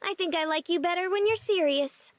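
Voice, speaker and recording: An actress playing a quirky, cheerful young woman in a visual novel, with a playful, pouty, expressive delivery. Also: very high voice, a little fast.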